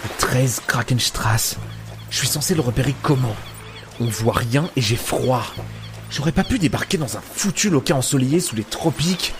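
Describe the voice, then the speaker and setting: A grumpy male adventurer voiced by an actor in an audio drama. He is sarcastic and world-weary, grumbling as if to himself in a mid-range voice. Music runs clearly behind him. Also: mid-range voice, animated, moderate pace, natural voice. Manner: grumbling, sardonic, muttering to himself. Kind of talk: French audio drama acting.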